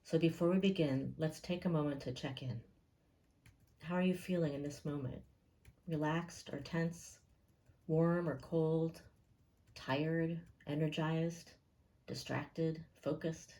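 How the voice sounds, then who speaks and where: A woman leading a guided meditation in a calm, gentle and unhurried way, leaving pauses between phrases. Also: deep voice.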